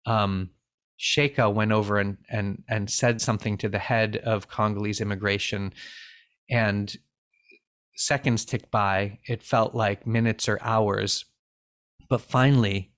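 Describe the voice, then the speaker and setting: Middle-aged man, guest in an interview, low voice, earnest, reflective storytelling. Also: vocal fry, a bit bright. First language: bilingual in Finnish and English. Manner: earnest, reflective, with frequent restarts. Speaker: interview guest, refugee aid founder.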